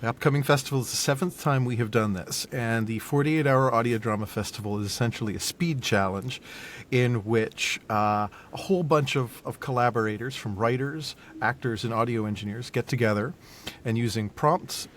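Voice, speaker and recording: A man's voice: moderate pace, fairly bright tone. A man chatting casually and enthusiastically as an audio drama producer being interviewed. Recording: rumbling background noise.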